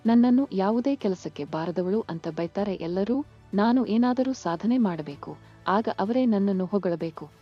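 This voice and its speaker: A woman acting as a young village daughter-in-law in a cartoon folk tale. She has a medium-pitched voice with a slightly dull recording and faint music behind. She sounds polite, earnest and hopeful. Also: expressive, normal speed, husky. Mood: wistful, wondering. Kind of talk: animated moral story. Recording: muffled lo-fi sound.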